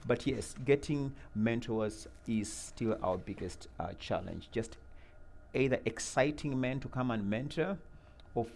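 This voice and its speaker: A middle-aged man, from Uganda, answering interview questions about a mentoring program in an earnest, measured way, with many fillers and repeated words. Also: medium pitch, vocal fry, darkish tone, slight background noise.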